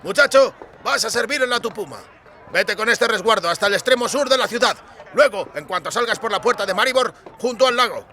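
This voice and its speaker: A man's voice: high-pitched, animated, a little fast, sharp tone, pitched above the performer's own voice. A man voicing a brusque army recruiting officer in a fantasy audiobook, giving curt commands. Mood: brisk, bossy.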